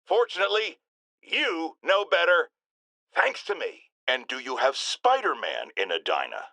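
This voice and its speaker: A man's voice: fairly high pitch, huge pitch swings, measured pace, gravelly, bright, forceful tone, dry recording, heavily acted. An American man playing a pompous, self-righteous older talk radio host in a video game, indignant and emphatic. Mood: self-important. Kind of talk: theatrical radio talk show rant.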